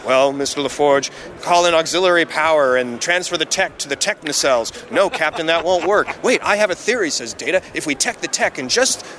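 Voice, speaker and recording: A man with a bright, forceful voice, pitched a little above usual, telling a wry story at a convention. He breaks into quick mock dialogue full of jargon. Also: lively intonation. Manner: playfully mocks the script jargon in put-on dialogue. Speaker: interviewed screenwriter. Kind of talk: anecdotal interview.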